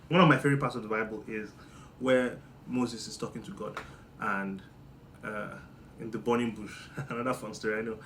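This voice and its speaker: A man from Nigeria with a Nigerian accent, giving a joking monologue that swings between loud bursts and low muttering. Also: slight background noise. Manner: playful, teasing, jumps between loud and muttered. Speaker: monologue host. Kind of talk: comedic monologue.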